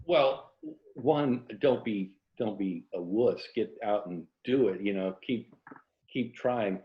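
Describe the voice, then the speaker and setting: A man's voice: on the low side, slow pace. Older American man, roomy dull call audio. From Tampa, Florida, United States. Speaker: interview guest, writer and former journalist. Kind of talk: casual conversational storytelling. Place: indoor room, remote video call.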